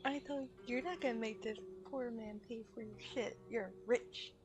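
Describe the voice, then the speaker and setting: A woman's voice: on the high side, moderate pace, lo-fi sound. A woman giving dry, offhand, casual commentary as she streams a game. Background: game music.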